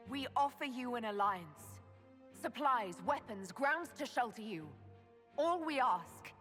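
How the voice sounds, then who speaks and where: A resolute hero leader. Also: female voice, fairly high pitch, a bit bright. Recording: game audio with long reverb.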